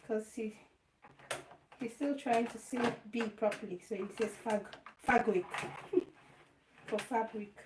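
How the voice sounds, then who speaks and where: A woman talks through her sewing live at home, her voice hoarse and croaky. The room sounds echoey, and a faint hiss of noise runs underneath her. She chats away to herself and the viewers as she works. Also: a little high, takes it slowly, long pauses. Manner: chatty, talks to herself while working. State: hoarse, lost voice. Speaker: sewing vlogger livestreaming. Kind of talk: casual live chat while sewing. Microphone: phone or camera set up nearby.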